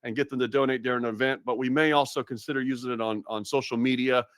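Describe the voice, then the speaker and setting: A man's voice: medium pitch, steady tone, fast, a bit bright, no room sound, clean studio sound. Chatty upbeat man hosting a webinar. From Massachusetts, USA. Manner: chatty, upbeat, lots of fillers and restarts. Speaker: webinar host. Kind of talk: live webinar presentation.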